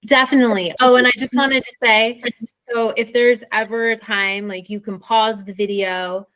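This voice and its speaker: A woman's voice: fairly high pitch, fairly even intonation, moderate pace, a bit bright, no echo, low-quality recording. An American woman co-hosting an informal English lesson in a conversational teaching style. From Pennsylvania, USA.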